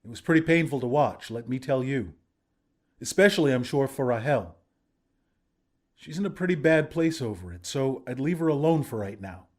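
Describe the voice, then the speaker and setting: An actor playing an earnest, friendly young rebel leader in a video game, speaking in a sincere, conversational way. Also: male voice, mid-range voice, expressive, normal speed, lightly acted. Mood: cautioning, sympathetic. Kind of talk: video game character dialogue.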